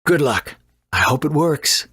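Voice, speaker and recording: Husky, vain eccentric inventor. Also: male voice, fairly high pitch, big pitch swings, pushed and bright, dry recording, clean studio sound, heavily acted. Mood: brisk. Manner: brusque, boastful, quick to scold. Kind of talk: animated video game voice acting.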